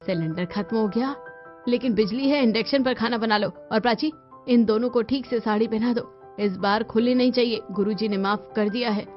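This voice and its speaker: A woman's voice: a little high, steady tone, normal speed, lightly acted. A woman playing a strict, anxious middle-aged mother-in-law in a cartoon, with a scolding, fretful manner. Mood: instructing. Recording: dull lo-fi sound with music behind.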